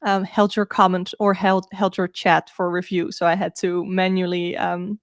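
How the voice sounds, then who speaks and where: A woman's voice: fairly low pitch, little pitch movement, moderate pace, dry recording, clean studio sound. A woman from the Netherlands with a Dutch accent, chatting casually as a live-streaming tarot reader. She thinks aloud informally and often repeats herself.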